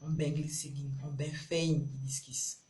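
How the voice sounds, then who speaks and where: A woman's voice: sits low, level tone, unhurried, dark tone. A woman from Guadeloupe with a Creole accent, performing spoken-word love poetry in short, rhythmic, incantatory phrases. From Marie-Galante, Guadeloupe. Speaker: poet.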